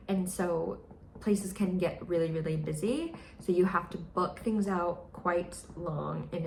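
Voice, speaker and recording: A young American woman chatting casually in a vlog, full of fillers and playful quoted voices. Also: vocal fry. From United States. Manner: chatty, with many fillers, mimics others in high voices. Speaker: vlogger. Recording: echoey room, faint noise.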